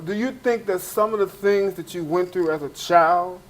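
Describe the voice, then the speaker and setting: A man with a high voice hosts an interview with upbeat energy. He laughs readily and fills in with quick affirmations while his guest talks. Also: poor audio. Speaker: interview host. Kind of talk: enthusiastic interview hosting.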